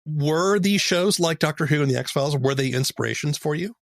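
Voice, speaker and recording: A man's voice: fairly high pitch, a little fast, vocal fry, dry recording, studio quality. A man hosting a conversational interview, explaining and commenting as he goes.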